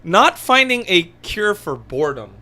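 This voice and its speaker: A man's voice: sits high, sing-song, a little slow, sharp tone. A man co-hosting a podcast in casual chat, joking around and tripping over his words. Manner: playful, jokey, stumbles over words. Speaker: podcast co-host.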